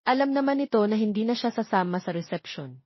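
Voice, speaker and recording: A woman narrates a scripted drama. Her pitch sits in the middle range for a woman. The sound is very dry and somewhat dull, with little top end. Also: lively intonation, fast talker, no echo. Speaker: audio story narrator.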